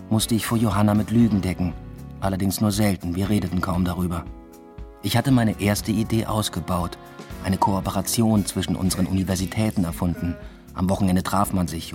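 A low-pitched actor as a male lecturer leading a double life tells his story in a literary radio play. He is dry, introspective and evasive, with clear music behind him. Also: darkish tone, lightly acted. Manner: dry, matter-of-fact, introspective. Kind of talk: literary radio drama first-person narration.

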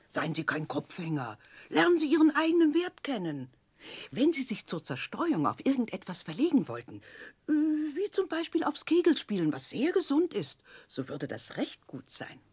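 A woman playing a nosy, sly, middle-aged landlady in a 1960s radio drama, confiding and theatrical in a husky, breathy voice. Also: fairly high pitch, a little mellow, no room sound. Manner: confiding, theatrical, motherly advice-giving.

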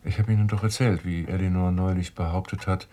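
An actor playing a serious, determined writer in a German radio drama, speaking in a measured way. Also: male voice, low voice, steady tone, warm tone, slight background noise, natural voice. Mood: uneasy. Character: determined male writer searching for his brother.

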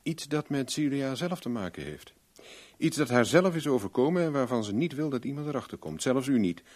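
A self-assured male private investigator from a radio play, acted by a man. His voice sits at an ordinary middle pitch. He questions shrewdly and speaks plainly, with a blunt, wry edge. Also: expressive, a little fast, darkish tone, close to an everyday voice. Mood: knowing, pressing. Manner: probing, wry, plain-spoken. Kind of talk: Dutch radio play acting.

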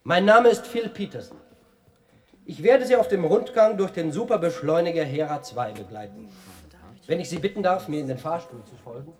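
An actor playing a male science tour guide in a radio play, speaking in a formal, measured, didactic way. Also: fairly high pitch, animated, lightly acted.

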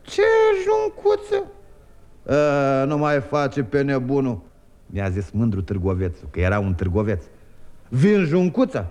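An actor playing a merry wandering male trickster who jokes in quick rhyming verse, in a 1950s radio play. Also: medium pitch, huge pitch swings, darkish tone. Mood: storytelling.

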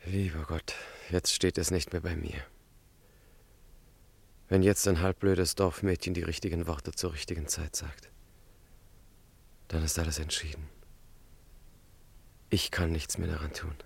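Young student conspirator: very low, breathy voice, weighty and measured, quietly resolved radio drama. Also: male voice, little pitch movement, full character voice. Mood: resigned, relieved. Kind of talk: German radio play acting.

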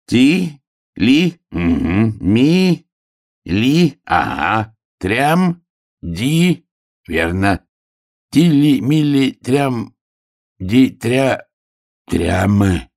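A man voicing an excitable, naive young hare in a storybook tale, blurting and exclaiming in easy astonishment. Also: lowish voice, extremely slow, creaky, long pauses, dry recording, clean studio sound, pitched above the performer's own voice, heavily acted. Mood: halting, puzzled.

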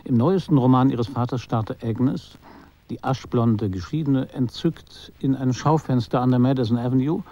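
A man acting as a detached literary narrator for a radio play. His voice is mellow and mid-pitched, his phrasing measured and quietly ironic. The recording sounds a little muffled. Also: close to an everyday voice.

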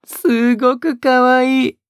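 A woman playing a doting, possessive captor in an intimate audio drama, coaxing and cooing softly with a breathy voice that often drops toward a whisper. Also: high-pitched, flat delivery, takes it slowly, dry recording, clean studio sound. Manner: coaxing, teasing, cooing as if to a child.

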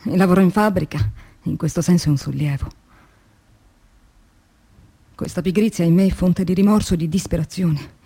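An actress playing a young, tormented philosophy teacher turned factory worker, in a radio drama monologue with measured, deliberate phrasing and dramatic pauses. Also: female voice, on the low side, warm tone. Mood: anguished, reflective. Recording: clearly noisy recording with ambient sound.

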